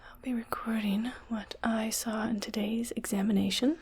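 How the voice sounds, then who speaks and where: A woman playing a calm, reassuring spaceship doctor in a soft, breathy ASMR whisper, speaking gently and soothingly. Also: fairly high pitch, normal speed, slight background noise, natural voice. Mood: calm, clinical. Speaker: ASMR roleplayer. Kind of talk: soft ASMR medical roleplay.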